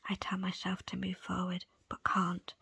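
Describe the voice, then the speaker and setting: A woman narrating a first-person story as an ASMR audiobook reader, in a breathy, unvoiced whisper. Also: fairly low pitch, monotone, moderate pace, sharp tone, dry recording.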